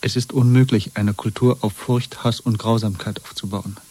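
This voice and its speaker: A man playing a broken but stubbornly defiant prisoner in a radio drama, speaking tersely, with weary, quiet insistence. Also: lowish voice, steady tone, normal speed, breathy edge. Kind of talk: German radio drama acting.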